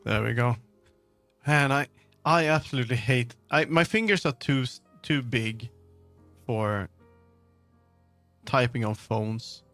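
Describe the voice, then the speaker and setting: Man, livestreamer, gravelly fry, casual rambling chat, halting delivery, music in the background. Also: mid-range voice, fairly bright tone, no echo. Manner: rambling, halting, musing aloud.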